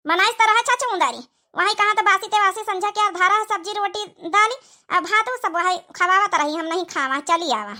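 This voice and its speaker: A woman's voice: very high voice, a little fast, bright, forceful tone, heavily acted. A woman voicing a scolding, grumbling old village mother-in-law in an Awadhi accent, for a cartoon comedy. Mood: complaining. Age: older. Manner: nagging, complaining.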